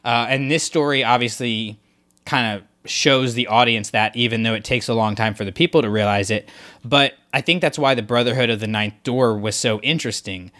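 A man's voice: mid-range voice, fairly quick, pushed and bright. A man co-hosting a casual discussion podcast, talking things through in a thoughtful, analytical way with frequent restarts. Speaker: podcast co-host.

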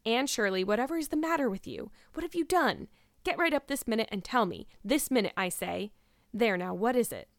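A woman voicing a stern, exasperated older guardian in a storybook, with a brisk, sarcastic, scolding manner. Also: fairly high pitch, expressive, no room sound, clean studio sound.

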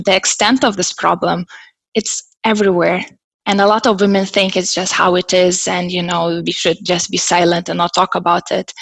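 Lithuanian woman, creaky and closely recorded. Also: on the low side, fairly bright tone, no echo, rough recording. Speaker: interview guest, tech consultant. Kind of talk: earnest conversational interview talk.

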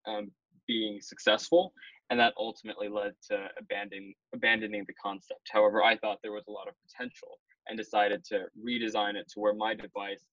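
A young man with a low voice, a podcast guest who explains in long, orderly sentences. The sound is dry and a little dull, with no room around it. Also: a bit bright, dry recording. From Malaysia. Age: young adult. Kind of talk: podcast interview.

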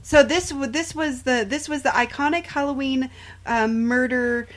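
A woman's voice: high-pitched, normal speed. A woman co-hosting a podcast, casually reading out true crime stories with sardonic, sometimes profane asides. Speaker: podcast co-host.

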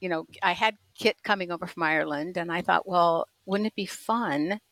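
An older woman with a lowish voice chats about her writing, circling back and restarting as she goes. The sound is dry and close, with no room around it. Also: normal speed, fairly bright tone, dry recording, low-quality recording. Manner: chatty, with frequent restarts and laughs. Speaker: author guest. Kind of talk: casual interview chat.

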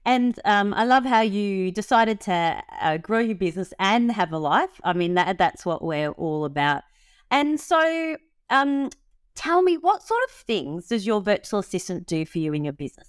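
Chatty woman host, smooth crisp voice, keen and bubbly, lots of ums. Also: medium pitch, sing-song, normal speed, no room sound, clean studio sound. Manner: enthusiastic, lots of ums and likes. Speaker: interview host. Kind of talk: casual interview chat.

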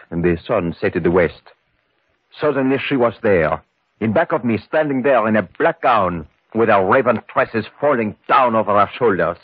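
A man's voice: lowish voice, breathy edge, no echo. An actor playing a grave, superstitious Frenchman with a French accent, telling ominous tales in old radio horror style. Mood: haunted, awed. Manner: ominous, deliberate storytelling. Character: grave, superstitious French villager warning of a vampire. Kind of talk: 1940s-50s radio horror acting. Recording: muffled old radio broadcast.

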